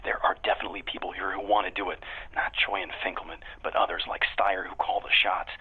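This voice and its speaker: A jaded male tech insider phones in secrets in an audio drama. His low voice is breathy and hurried, full of conspiratorial anger, over a narrow telephone line. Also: flat delivery, whispered. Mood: sardonic, insistent. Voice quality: husky, breathy. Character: jaded, angry male tech employee phoning in anonymous tips. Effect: telephone filter. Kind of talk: radio drama acting.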